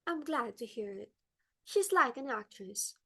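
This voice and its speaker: A woman's voice: a little high, expressive, a little slow, vocal fry, a bit bright, husky, heavily acted. A woman playing a fussy, determined society hostess and mother in a dramatic, exclamatory stage play reading. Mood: brisk, bossy.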